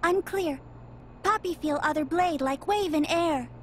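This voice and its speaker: A woman's voice: extremely high pitch, unhurried, slight background noise. A woman voicing a small, polite robot girl in a game, speaking in clipped, literal broken phrases. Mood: matter-of-fact. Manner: clipped, literal, third-person broken grammar. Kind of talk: video game cutscene acting.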